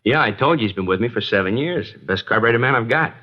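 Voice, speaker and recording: Wary, fast-talking garage owner played by a man. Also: mid-range voice, expressive, natural voice. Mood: testy, defensive. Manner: wary, grudging, colloquial. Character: guarded working-class male garage owner, reluctant and testy. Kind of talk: old-time radio drama acting, naturalistic. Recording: dull vintage radio broadcast.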